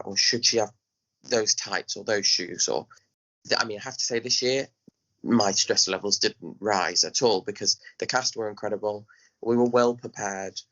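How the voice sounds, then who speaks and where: Bright, forceful Englishman in casual interview. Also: fairly low pitch, lo-fi sound. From England. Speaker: podcast guest, pantomime director.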